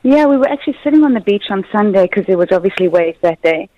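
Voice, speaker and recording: A woman guest on a radio show, owner of a surf club, energetic and rambling. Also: medium pitch, fast, muffled recording. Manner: energetic, community-minded, rambling.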